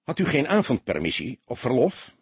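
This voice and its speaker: A man voicing a young male detective in an audiobook, an awkward but insistent questioner who speaks haltingly. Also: mid-range voice, huge pitch swings, gravelly, husky, dry recording, pitched above the performer's own voice, full character voice. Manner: halting, pressing, slightly apologetic.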